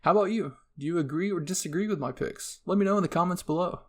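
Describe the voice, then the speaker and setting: A man's voice: sits high, fast, darkish tone, breathy edge. A man hosting a casual, partly scripted online ranking commentary, with an irreverent, sardonic, casually profane way of talking. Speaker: YouTube host.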